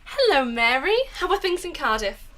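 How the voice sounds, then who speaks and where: A woman's voice: high voice, very animated, normal speed, fairly bright tone. A woman playing a breezy, gossipy friend in a radio drama. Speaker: actor in a student radio drama.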